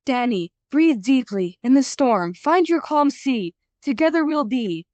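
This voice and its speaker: A woman voicing a serene, wise creature companion who speaks in calm, measured verse in a fantasy audio drama. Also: high voice, no room sound, dull audio. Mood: serene, wistful.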